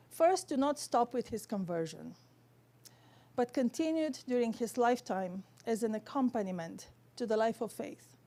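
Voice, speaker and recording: A woman with a Romanian accent giving an academic theology lecture in careful, halting, scholarly phrasing. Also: on the high side, warm tone.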